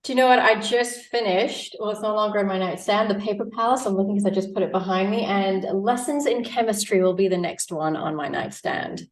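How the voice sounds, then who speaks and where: A woman's voice: mid-range voice, fairly quick, few pauses, poor audio. A woman from Australia, a guest dietitian in casual interview chat, bubbly and chatty with frequent laughs.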